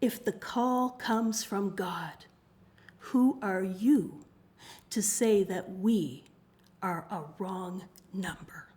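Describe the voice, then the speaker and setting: A woman performing spoken-word poetry at an open mic, with deliberate, measured and impassioned delivery. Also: mid-range voice, a little mellow.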